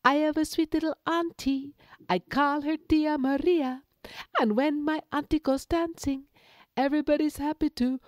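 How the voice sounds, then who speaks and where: A woman's voice: high voice, animated, brisk, mellow, no echo, clean studio sound, pitched above the performer's own voice. Conejito, a woman doing a chirpy little rabbit boy. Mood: singing. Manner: sing-song, pleading and wheedling. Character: small cheerful boy rabbit, sweet-talking.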